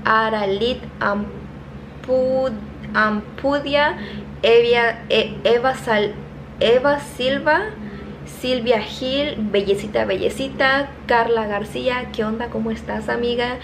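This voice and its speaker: A woman's voice: on the high side, background noise. Chatty Mexican woman vlogging on a phone. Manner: chatty, reading names quickly, laughing at herself. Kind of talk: casual shout-outs to viewers. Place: home kitchen, indoors. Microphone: phone held at arm's length.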